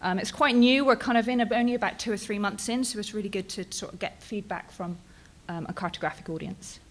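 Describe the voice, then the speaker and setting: A woman's voice: medium pitch, quick pace. A woman in her thirties with a British accent, presenting her research at a conference in a casual, chatty way with frequent ums and "right?" tags. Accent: British English. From England, Bristol.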